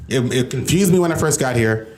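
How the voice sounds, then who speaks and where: A man's voice: mid-range voice, lively intonation, a little fast, darkish tone. A young man from Guyana telling a story on a casual podcast, acting out quotes as he goes. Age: young adult. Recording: roomy with faint noise.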